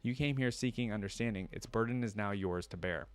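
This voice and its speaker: A man's voice: lowish voice, fairly quick, smooth, lightly acted. A man reading the storybook dialogue of a stern, solemn veiled woman who passes judgment. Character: stern veiled female judge demanding empathy through pain. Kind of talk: storybook dialogue read aloud.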